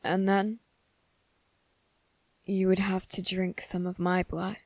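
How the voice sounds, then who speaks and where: A woman voicing a young, devoted and possessive male vampire in an ASMR roleplay. She speaks slowly and softly, close to the microphone, and slips into whispers. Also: mid-range voice, monotone, dry recording. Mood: tender, grave. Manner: slow, soft-spoken and intimate, slipping into whispers.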